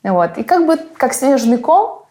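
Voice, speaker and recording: A woman chatting casually about learning English, animated and laughing as she acts out phrases. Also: sits high, unhurried.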